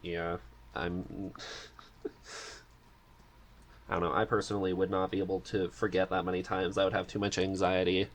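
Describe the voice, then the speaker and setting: Man, somewhat low pitch, podcast interviewer, casual chat, dry teasing humor. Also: flat delivery, normal speed, pauses often.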